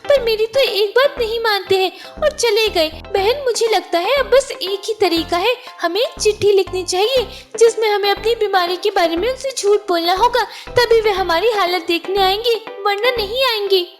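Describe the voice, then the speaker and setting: A worried little wife bird from a moral-story cartoon, played by a woman, pleads earnestly in a very high voice. She sounds scheming yet caring, with music underneath. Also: normal speed, few pauses. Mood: worried, pleading. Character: worried, scheming wife bird who misses her husband.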